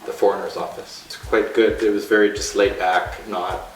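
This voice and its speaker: Guy from the us northeast, kinda low flat voice, lots of ums, mic far off in an echoey room. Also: normal speed, a little hiss or hum. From northeastern United States. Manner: relaxed, with many fillers and restarts. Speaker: guest. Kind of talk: casual interview chat.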